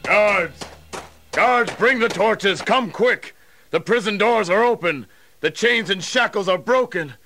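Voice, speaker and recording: An actor playing an excitable, anguished Roman jailer in an audio drama, with a forceful, dramatic delivery. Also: male voice, medium pitch, slow, long pauses, recorded from a distance, heavily acted. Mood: alarmed.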